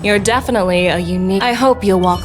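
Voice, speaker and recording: A woman's voice: medium pitch, fast, music in the background, lightly acted. An actress playing a thoughtful, friendly woman in a video game, earnest in manner.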